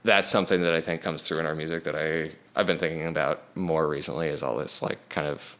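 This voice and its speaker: A young man with a very deep, resonant voice talks in a relaxed interview. He rambles and stacks up fillers as he tells stories about his band. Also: quick pace. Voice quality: low resonant voice. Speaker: band singer. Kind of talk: casual interview chat.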